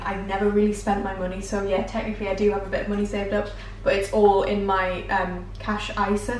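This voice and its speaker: A British woman in her twenties talks casually to camera with a middling pitch and a self-deprecating, conversational manner. She sounds a little away from the microphone in an echoey room, with faint noise behind her. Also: darkish tone. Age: 20s. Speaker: vlogger answering viewer assumptions while baking. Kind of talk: casual chatty vlog to camera. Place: home kitchen, indoors. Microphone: camera mic at a short distance. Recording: roomy, distant mic, faint background noise.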